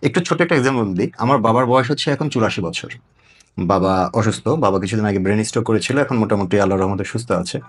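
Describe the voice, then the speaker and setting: A man with a Bangladeshi accent hosts a conversational interview. His voice sits fairly low and he talks very quickly. He explains his points as he goes. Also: slightly rough.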